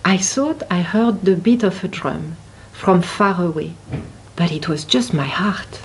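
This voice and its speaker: A woman reading a children's story aloud expressively, with deliberate, dramatic emphasis on key words. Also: fairly low pitch, slight background noise. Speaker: picture book reader at a library.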